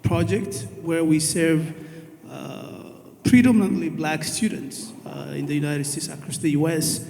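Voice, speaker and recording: A man from Ethiopia introduces himself to a conference audience, pausing often on fillers as he speaks. His voice is slightly high and mellow, with an even, level delivery. The sound carries a long reverb from a large hall. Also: gravelly, a little hiss or hum. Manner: hesitant, many "uh" fillers. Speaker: panelist. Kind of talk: panel self-introduction.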